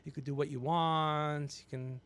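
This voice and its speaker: A man hosting a livestream, rambling comedically with blunt, profane, teasing banter and a creaky voice. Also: fairly high pitch, steady tone, a little slow, a bit bright. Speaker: podcast livestream host.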